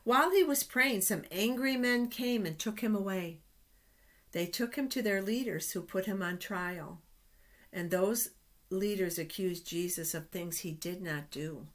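Slow-paced middle-aged woman reading to children. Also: mid-range voice. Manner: deliberate, expressive read-aloud phrasing with pauses. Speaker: children's storytime host at a church. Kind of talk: reading a storybook aloud to children. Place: small indoor office.

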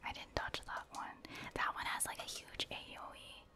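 Girl whispering asmr gamer commentary, all breath no voice, chatty little reactions while she plays. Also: normal speed. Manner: chatty, reacting to play in short murmured asides. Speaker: gaming streamer and ASMR host.

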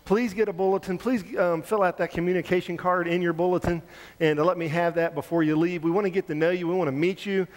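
Pastor welcoming a congregation, high voice. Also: male voice, fairly even intonation, quick pace. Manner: warm, informal, with fillers. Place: large church sanctuary. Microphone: handheld mic. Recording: long reverb of a church hall.

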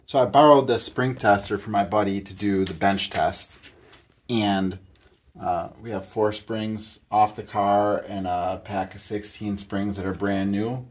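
A middle-aged man giving a casual, halting how-to narration, thinking aloud as he works with his hands. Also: lowish voice, steady tone. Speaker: hobbyist mechanic demonstrating a repair. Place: home garage workshop, indoors.

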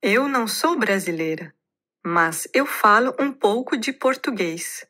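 A woman speaks in a bright, clear voice and reads course lines at a very slow pace. Each word comes out deliberate and evenly spaced, like recorded lesson audio. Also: medium pitch, expressive. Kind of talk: slow scripted dialogue reading.

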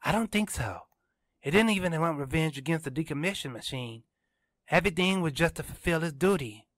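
Man as an earnest, quick-talking teenage boy. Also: fairly high pitch, lively intonation, a bit bright, no echo, pitched above the performer's own voice. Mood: earnest, certain. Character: earnest, eager teenage boy, sure of himself.

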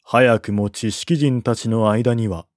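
A man narrating a historical novel as an audiobook, with a measured, expressive literary delivery. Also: mid-range voice, dry recording, clean studio sound.

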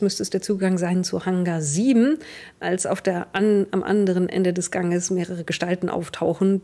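A woman's voice: fairly low pitch, a little mellow. A young woman improvising the narration of a tabletop roleplaying game as game master. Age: young adult.

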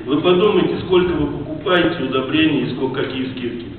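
A man with a cold, hoarse, giving an emotional and reproachful speech to farmers at a meeting in a large hall. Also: fairly high pitch, fast talker. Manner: reproachful, rambling, rising to indignation. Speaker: farmers' association head addressing a meeting. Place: large assembly hall with seated audience. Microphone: far camera in the audience rows. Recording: distant echoey hall, tinny, faint noise.

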